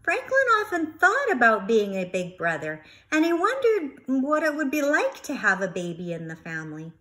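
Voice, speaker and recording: A woman reading a picture book aloud to young children in an expressive, instructive teacher's way, asking questions and repeating key words. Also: high-pitched, moderate pace.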